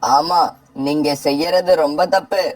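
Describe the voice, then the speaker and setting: A man's voice: fairly high pitch, fairly even intonation, a little slow, lightly acted. A man voicing a righteous, bold male bird in a children's cartoon story. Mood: firm, encouraging.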